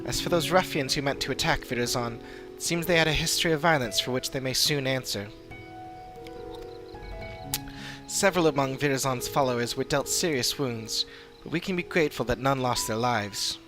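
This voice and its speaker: A man plays an earnest fantasy adventurer from a video game, speaking in formal, measured sentences. The mid-pitched voice is serious and sits over clear background music. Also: natural voice. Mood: grave, hopeful. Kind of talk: read-aloud video game dialogue.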